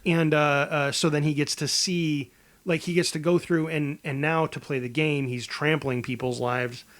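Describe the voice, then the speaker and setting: A male podcast co-host with a voice a bit higher than most men's. He talks casually and thinks out loud, rambling and doubling back on his sentences as he pitches ideas. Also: steady tone. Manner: halting, rambling delivery with false starts. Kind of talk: casual improvised movie pitch chat.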